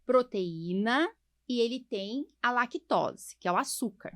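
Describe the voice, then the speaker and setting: Chatty Brazilian woman, higher-pitched voice. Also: lively intonation, unhurried, fairly bright tone, no room sound, studio quality. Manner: chatty, emphatic, frequent rhetorical questions. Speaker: podcast host, allergist doctor. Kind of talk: casual podcast conversation, explaining to listeners.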